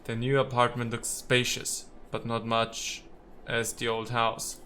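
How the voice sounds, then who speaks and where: A man's voice: mid-range voice, monotone, a little slow, bright, forceful tone, a little hiss or hum. A man giving casual, playful let's play commentary, slipping into theatrical readings of game text and talking to the characters.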